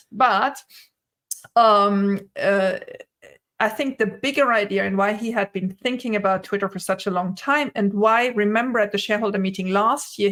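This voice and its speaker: A woman's voice: medium pitch, a bit bright. Middle-aged woman, non-native English, explaining her views. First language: not English (English is her third language). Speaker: guest, retail investor commentator. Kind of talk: conversational opinion and analysis in an interview. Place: indoor room at home, on a video call. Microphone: video call.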